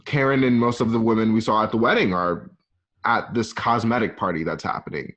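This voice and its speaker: Male narrator for a script reading: lowish pitch, informal, halting delivery, lo-fi home sound. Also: fairly bright tone. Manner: halting, casual, present-tense scene description. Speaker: narrator reading stage directions. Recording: dull, lo-fi home recording.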